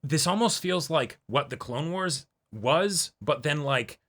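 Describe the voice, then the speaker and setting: A man's voice: fairly high pitch, animated, takes it slowly, fairly bright tone. A man co-hosting a reaction show, thinking aloud in loose, casual fan talk and often checking in with his partner. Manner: loose, exploratory, checks in with "right?". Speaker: co-host of a TV reaction and discussion show.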